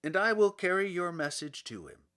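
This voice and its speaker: Man acting a courteous, bright-voiced palace soldier. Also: high voice, sing-song, moderate pace, smooth, pitched above the performer's own voice, exaggerated voice. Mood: polite, formal. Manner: formal, polite. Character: courteous, dutiful bearded palace soldier, formal and obliging. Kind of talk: storybook character voice.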